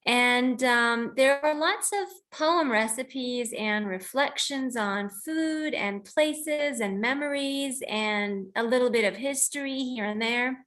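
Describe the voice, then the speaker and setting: High-voiced reflective American-born woman. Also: a bit bright. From United States. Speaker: host of a poetry reading and poet. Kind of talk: informal introduction and reading of own poems. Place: online video call.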